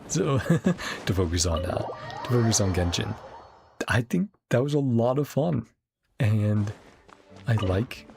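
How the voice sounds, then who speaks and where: A man's voice: on the low side, gravelly. A man chatting casually as a game streamer, enthusiastic and rambling as he thinks aloud. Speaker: game streamer reacting to a story quest. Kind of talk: casual chatty reaction commentary.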